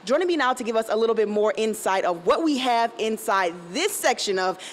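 A woman's voice: on the high side, expressive, a little fast, a little hiss or hum. A young woman reporting live for TV news from inside an aquarium, chatty and upbeat, speaking into a handheld mic. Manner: bubbly, chatty, laughs often. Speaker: field reporter. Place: indoor aquarium exhibit. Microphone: handheld station microphone.

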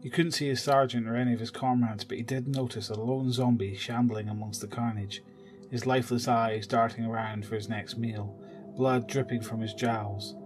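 A man's voice: medium pitch, steady tone, normal speed, soft background music. A man reading scripted narration for an audio drama.

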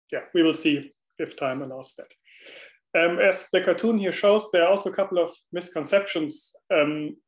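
A man from Germany with a German accent, presenting a mathematics webinar talk over a video call in a relaxed, friendly way with some fillers. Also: a little high. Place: indoor room, on a video call. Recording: roomy echo on a video call.

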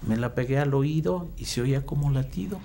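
A man's voice: mid-range voice, a little fast. A man with a Mexican accent, a radio sound effects artist telling anecdotes casually and colloquially in an interview. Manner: colloquial, acts out remembered dialogue. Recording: clear background noise. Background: background noise.

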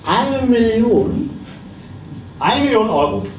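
A man playing a brisk auctioneer in a live comedy audio play, chanting bids and drawing out his calls. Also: very high-pitched, big pitch swings, full character voice. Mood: theatrical, brisk. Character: brisk theatrical male auctioneer selling off a practice. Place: small theatre stage, indoors. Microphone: stage microphone, recorded by far camera. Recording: noisy, echoey, distant live stage recording.

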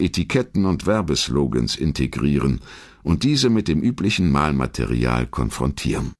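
A man reading scripted documentary narration in a measured, articulate storytelling manner. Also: rumbling low.

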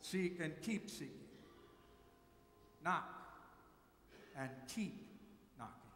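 An older man preaching a sermon from a pulpit, with measured, slowly repeated emphasis. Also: fairly high pitch, expressive, a bit bright. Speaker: pastor. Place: large church sanctuary at a pulpit. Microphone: pulpit mic, far camera. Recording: echoey church hall.

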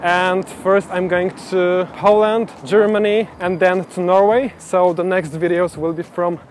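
Upbeat high-voiced man vlogging. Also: flat delivery, a little slow, slight background noise. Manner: upbeat, speaks straight to the camera. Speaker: travel vlogger host. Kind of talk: casual travel vlog. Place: busy city street at night and a restaurant. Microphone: handheld camera at arm's length. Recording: music playing behind the voice.

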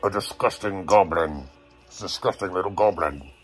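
A man's voice: deep voice, normal speed, soft background music, close to an everyday voice. A man voicing disgusted storybook villagers, scornful and exclaiming. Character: disgusted, shrieking villagers who hate goblins.

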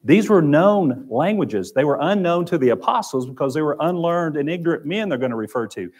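A man's voice: on the high side, expressive, fairly quick, darkish tone, breathy edge. A man with an Oklahoma accent teaching a Sunday Bible class in a church room, talking conversationally and repeating phrases. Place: church classroom with projector screen.

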